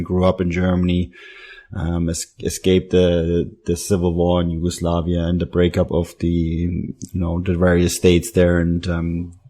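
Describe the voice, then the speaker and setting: A man giving casual, explanatory football analysis on a podcast in a measured manner. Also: low voice, a little mellow.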